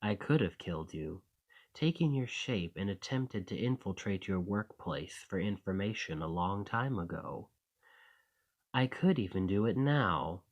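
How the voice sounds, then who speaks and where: A sinister shapeshifter acted by a man speaks intimately in an ASMR drama. The voice sits fairly low and moves very slowly. The delivery is deliberate and coaxing, with a possessive, softly threatening edge. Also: heavily acted. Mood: quietly threatening.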